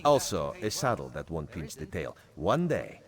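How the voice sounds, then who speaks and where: A man's voice: rumbling low, big pitch swings, moderate pace. An actor playing a sly, humble male cat-man agent in a video game, wryly loyal and speaking of himself in the third person. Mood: wry, measured. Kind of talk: video game character dialogue.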